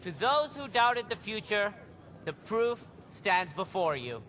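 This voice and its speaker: Pompous alien official, high, lively, self-congratulating. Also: male voice, takes it slowly, full character voice. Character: pompous alien official man making speeches. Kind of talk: video game dialogue.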